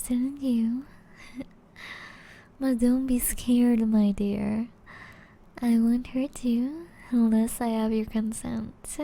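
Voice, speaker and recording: A woman plays an elegant, seductive vampire noblewoman in an intimate ASMR roleplay. Her voice sits fairly high yet is very dark and velvety soft, breathy and often half-whispered. She draws out her formal, teasing phrases at a very slow pace, flirty and predatory.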